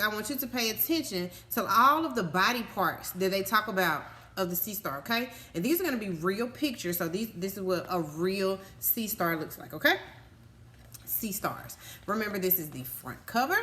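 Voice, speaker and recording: A woman's voice: mid-range voice, pushed and bright, slight background noise. A woman reading a picture book aloud to young children as a teacher, explaining simply and often stopping to ask check-in questions. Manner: instructive, simple child-directed phrasing with frequent check-in questions.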